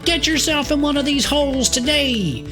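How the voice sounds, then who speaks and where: Manic fantasy-shop pitch-seller, raised voice, folksy hollering, parody radio ad. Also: female voice, animated, moderate pace, over music. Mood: manic selling. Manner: hard-sell hollering. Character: crazy, loud, folksy huckster of a magic curiosity shop. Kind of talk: parody local shop commercial.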